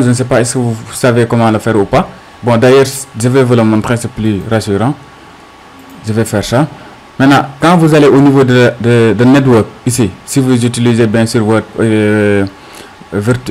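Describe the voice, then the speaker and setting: A man with a mid-pitched voice walks through a screencast tutorial. He speaks off the cuff as an instructor, haltingly, posing small questions and answering them as he explains each step. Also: a little mellow, rough recording.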